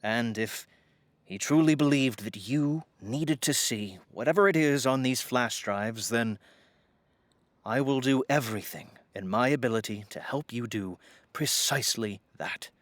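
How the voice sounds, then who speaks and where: An actor playing a courteous, earnest estate lawyer in an audio drama, formal, halting and florid. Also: male voice, mid-range voice, dry recording, close to an everyday voice. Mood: earnest, solemn.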